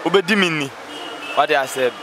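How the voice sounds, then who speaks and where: A man's voice: fairly high pitch, brisk. Emphatic Ghanaian man, noisy street. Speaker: street interviewee. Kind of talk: casual opinionated chat. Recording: noisy outdoor street recording.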